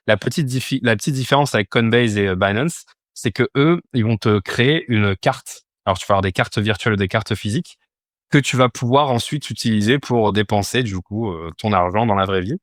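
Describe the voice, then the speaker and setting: Low-pitched man explaining informally. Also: brisk, fairly bright tone, no echo, studio quality. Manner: explanatory, poses questions then answers them. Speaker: instructor teaching a crypto finance course. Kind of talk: informal step-by-step tutorial with screen demonstration.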